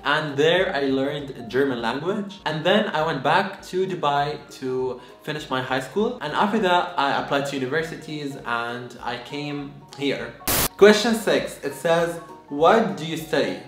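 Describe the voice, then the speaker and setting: A young man from Lebanon chats straight to the camera in a lively, upbeat way, with plenty of filler words. His voice sits a little high for a man. The bedroom sounds echoey, and faint music plays underneath. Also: a little slow. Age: 20s. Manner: lively, chatty, many "like" fillers. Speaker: vlogger. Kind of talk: casual chat to camera. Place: bedroom, indoors. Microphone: camera in front, medium close.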